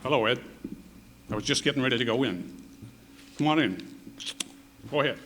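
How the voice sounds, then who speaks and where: An actor in a radio drama re-enactment playing a terse, steady police detective with a clipped, matter-of-fact delivery. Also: male voice, medium pitch, fairly quick, background noise, lightly acted. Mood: calm, probing. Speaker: actor in a staged radio tribute.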